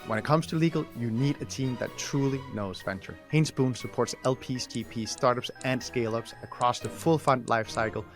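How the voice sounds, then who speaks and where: A man's voice: mid-range voice, music in the background. A young man with a Danish accent hosting a podcast, chatting casually and reading sponsor spots in an upbeat, jokey way. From Denmark. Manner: upbeat, jokey, fast-talking. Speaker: podcast host.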